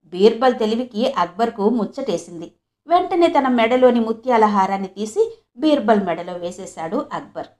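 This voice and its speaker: High-voiced woman storyteller. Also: animated, moderate pace, darkish tone. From Andhra Pradesh, India. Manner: conversational, addresses listeners directly. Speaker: storyteller, channel host. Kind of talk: expressive moral storytelling.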